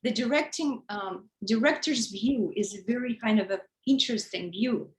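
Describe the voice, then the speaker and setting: Tatar-born woman, halting English, echoey room. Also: fairly high pitch. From Russia. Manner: halting non-native English, many fillers and restarts. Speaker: interview guest, actress and model. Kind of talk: casual interview chat.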